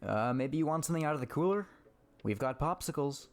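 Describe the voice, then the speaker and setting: A man's voice: fairly high pitch, lively intonation, a little fast. A man playing a smirking, mocking, unhelpful gas station clerk in a comic dub. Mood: stonewalling.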